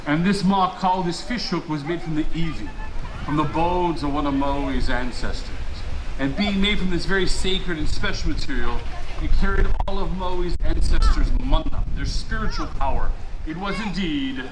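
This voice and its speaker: A man from Hawaii performing live as a storyteller, telling a legend theatrically with dramatic pauses and drawn-out emphatic words. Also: a little high. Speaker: resort storyteller. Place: outdoor poolside deck at a resort. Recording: roomy, noisy outdoor live recording.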